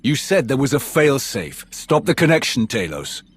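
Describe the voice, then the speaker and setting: A man playing a terse, commanding Sith warrior in a video game, with a husky, gravelly voice. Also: mid-range voice, big pitch swings, normal speed, no echo. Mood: urgent.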